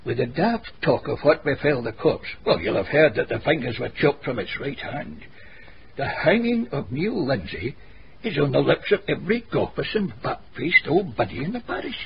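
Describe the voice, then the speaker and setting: An actor playing a venerable, learned Scottish cobbler in a radio drama, telling gossipy tales in a wordy, formal dialect. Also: male voice, mid-range voice, big pitch swings, moderate pace, creaky. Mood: gossipy storytelling. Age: older. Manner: wordy, dialect-rich, pedantic storytelling. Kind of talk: radio drama dialogue, storytelling. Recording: muffled radio broadcast, background hiss.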